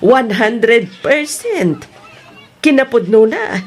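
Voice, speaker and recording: A woman's voice: a little high, big pitch swings, slow, breathy edge, exaggerated voice. A woman playing a teasing, gossipy aunt in an Ilocano audio drama. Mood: teasing, amused. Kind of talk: Ilocano radio-style drama acting.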